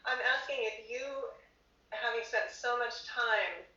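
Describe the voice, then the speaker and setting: Bright-voiced woman, distant echoey call. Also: a little high, fairly even intonation, a little slow, dull audio. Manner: earnest, probing, rephrasing her question. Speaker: audience member asking a question. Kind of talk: asking a question at an online reading. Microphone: video call.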